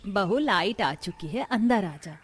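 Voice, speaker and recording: A woman's voice: medium pitch, expressive, measured pace, close to an everyday voice. A woman voicing a caring but firm older mother-in-law in a family cartoon, admonishing in a motherly way. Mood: coaxing.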